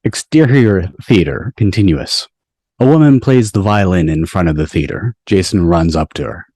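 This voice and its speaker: A man's voice: sits low, lively intonation, moderate pace, mellow, dry recording. A man reading stage directions as the narrator of a scripted table read. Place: video call from indoors.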